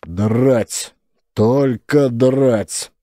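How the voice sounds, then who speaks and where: A man's voice: medium pitch, extremely slow, background noise. A man voicing an exasperated, henpecked father who blusters in an audio play. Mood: angry.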